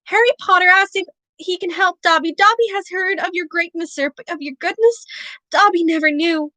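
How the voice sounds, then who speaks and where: A woman voicing a small, earnest, servile male house elf with a high-pitched, tearful, overeager voice. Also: moderate pace, sharp tone, no echo.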